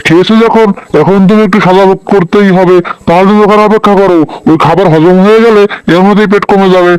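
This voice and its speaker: A man's voice: sits high, fairly even intonation, fast talker, clear, resonant tone, no room sound, close to an everyday voice, rough recording. A man voicing a bossy tiger uncle in a cartoon, scolding as he talks. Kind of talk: cartoon voice acting.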